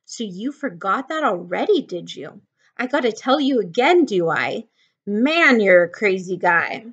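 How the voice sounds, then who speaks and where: A woman's voice: medium pitch, lively intonation, normal speed, pushed and bright, lo-fi sound. A woman voicing an irritable, bossy little drifter man from a novel, with rustic, scolding speech. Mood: exasperated. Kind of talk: audiobook-style character reading of a novel.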